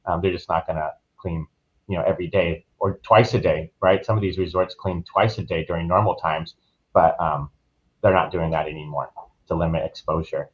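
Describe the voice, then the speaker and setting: A man's voice: sits low, steady tone, moderate pace, dull audio. A man answering interview questions as a travel professional, explaining things in an informal, conversational way. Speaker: guest travel professional reviewing a resort.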